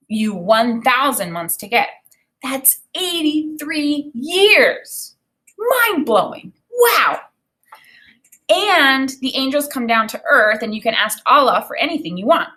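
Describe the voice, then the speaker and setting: A woman in her thirties, an elementary teacher, reading a children's novel aloud and explaining it in an expressive way. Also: on the high side. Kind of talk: read-aloud of a children's novel with think-aloud commentary. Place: small indoor room with bookshelf and whiteboard.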